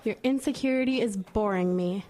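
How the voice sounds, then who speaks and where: A woman's voice: medium pitch, moderate pace, mellow, natural voice. A woman playing a moody, defensive teenage schoolgirl in naturalistic audio drama dialogue. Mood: defiant, cool.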